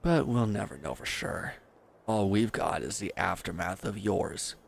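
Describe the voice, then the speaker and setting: A man's voice: low voice, animated, measured pace, vocal fry, slight background noise, full character voice. A man playing a bitter, wounded villain who is grieving and accusing, speaking with weary sarcasm in a scripted audio roleplay addressed to the listener. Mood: sardonic, accusing.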